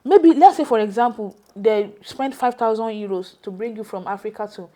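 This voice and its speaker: Give this voice to a Nigerian woman with a fairly high pitch. She talks in a conversational storytelling style, animated and emphatic, often repeating a phrase to drive it home. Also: normal speed.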